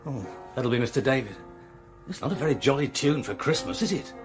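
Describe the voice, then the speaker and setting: A man's voice: mid-range voice, expressive, brisk, faint music behind, close to an everyday voice. An actor playing a chatty, nervy young male servant in a radio play, gossipy but deferential. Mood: gossipy, uneasy.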